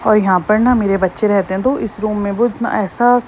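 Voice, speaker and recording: Hoarse-throated woman vlogger. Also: mid-range voice, fairly even intonation, fairly quick, background noise. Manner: chatty, homely, rambling. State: hoarse, slightly sore throat. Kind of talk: casual daily-life vlog narration.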